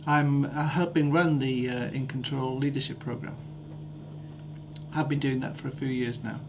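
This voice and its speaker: A man with a Scottish accent who helps run a training course, speaking briskly with hesitations. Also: mid-range voice, steady tone. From Edinburgh, Scotland. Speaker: course organiser. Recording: noisy recording with hum.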